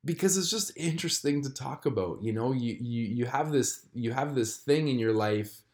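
A man in his thirties, born in Croatia, hosting a solo podcast and talking through a personal struggle in a reflective, halting way with many fillers and restarts. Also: mid-range voice, expressive, darkish tone. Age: 30s. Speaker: podcast host. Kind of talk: candid solo podcast confession.

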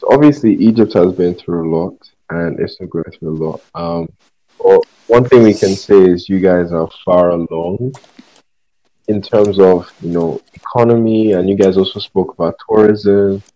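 A man with a very low, mellow voice asks the group questions in an easygoing, informal way, padding his speech with fillers. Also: moderate pace, poor audio. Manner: casual, questioning, with many fillers. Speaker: interviewer.

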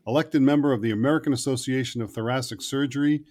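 A man's voice: medium pitch, fairly even intonation, quick pace, darkish tone. A man hosting an interview podcast, speaking in a conversational but prepared way. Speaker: podcast host interviewing a guest.

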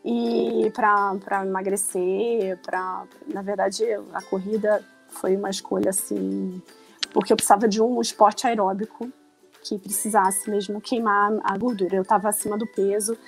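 A woman's voice: mid-range voice, little pitch movement, moderate pace, creaky. A woman in her forties talks in a Carioca Brazilian Portuguese accent as a podcast guest. She is chatty, laughs easily and restarts often. Faint music plays behind her. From Rio de Janeiro, Brazil. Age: 40s. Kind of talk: casual podcast conversation.

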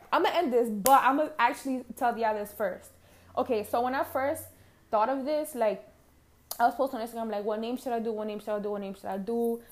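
A young woman from Harlem with a New York accent, hosting her own podcast in a brash, profane, chatty way from her couch at home. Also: medium pitch. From Harlem, New York City. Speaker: podcast host. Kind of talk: casual solo podcast monologue.

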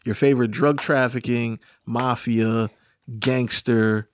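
A man's voice: medium pitch, fairly even intonation, takes it slowly, clean tone. A man hosting a podcast in a loose, casual chat style, rambling, swearing freely and laughing often. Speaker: podcast host.

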